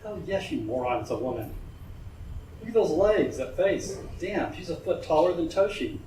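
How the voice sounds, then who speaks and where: A man voicing a crude, excitable young street thug who swears and leers, in an echoey, noisy recording from a distance. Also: fairly high pitch, normal speed, pitched above the performer's own voice, close to an everyday voice. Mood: leering.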